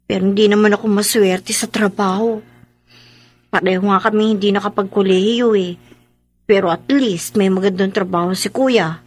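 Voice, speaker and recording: A woman's voice: mid-range voice, steady tone, moderate pace, darkish tone, lightly acted. A woman with a Filipino accent playing an emotive, wheedling young working-class daughter and sister in a radio drama. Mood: tender, pleading.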